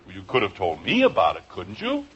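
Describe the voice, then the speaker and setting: Harried, animated sitcom dad. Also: male voice, deep voice, brisk, husky, full character voice. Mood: accusing. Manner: excitable, exasperated. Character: harried, exasperated sitcom husband and father. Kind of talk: 1950s radio sitcom acting. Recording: muffled old radio recording.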